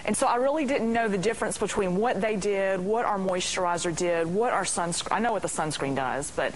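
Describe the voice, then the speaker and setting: A woman's voice: mid-range voice, brisk, a little hiss or hum, poor audio. A woman hosting a TV segment, asking questions and chatting in a casual, conversational way. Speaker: TV host.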